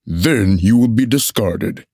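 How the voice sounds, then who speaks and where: A man's voice: lowish voice, slow, mellow, breathy edge, no echo, studio quality. A man in a puppet show playing an officious, unbothered messenger, dismissive and matter-of-fact. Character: unbothered, officious male messenger collecting banned books. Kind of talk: musical puppet show stage acting.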